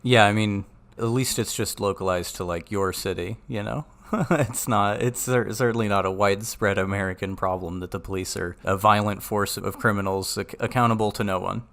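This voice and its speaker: Low, smooth-voiced sarcastic man. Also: normal speed, fairly bright tone. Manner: dry and sarcastic. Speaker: podcast co-host. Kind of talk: casual political podcast talk.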